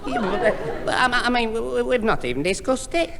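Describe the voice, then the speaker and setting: A man's voice: extremely high pitch, sing-song, brisk, creaky, fairly bright tone, some chatter behind, exaggerated voice. A man playing a bashful, gormless young suitor who stammers and dithers in a Northern English accent in a 1960s radio sitcom. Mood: bashful, flustered. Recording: echoey hissy vintage radio recording.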